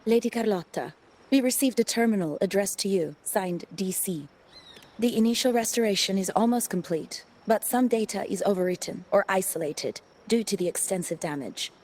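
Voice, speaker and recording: A woman's voice: medium pitch, moderate pace, darkish tone, close to an everyday voice. A woman playing a polite, formal subordinate giving a report in a video game, businesslike and deferential. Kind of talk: scripted game dialogue.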